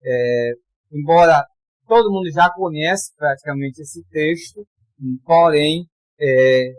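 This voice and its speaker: A man from Pará, Brazil, preaching a sermon in a conversational way, calling for amens and reading scripture slowly with emphasis. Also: a little high, dry recording. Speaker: pastor.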